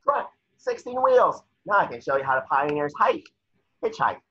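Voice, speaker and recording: A man playing an eager, naive cartoon sea sponge fry cook in a table reading, earnest and excitable. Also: fairly high pitch, normal speed, lo-fi sound. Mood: cheerful, earnest.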